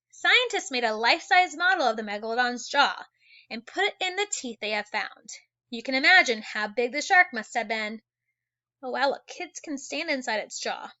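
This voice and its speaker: Female teacher for children's nonfiction read-aloud. Fairly high, bright, expressive delivery with chatty asides; slightly dull recording. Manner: animated, exclaiming and wondering aloud for young listeners.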